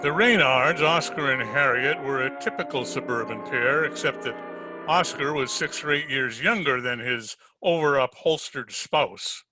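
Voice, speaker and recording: An actor playing a wry, hard-boiled heavyset private detective, narrating in 1940s radio style. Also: male voice, medium pitch, unhurried, over music, lightly acted, low-quality recording. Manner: wry, wisecracking.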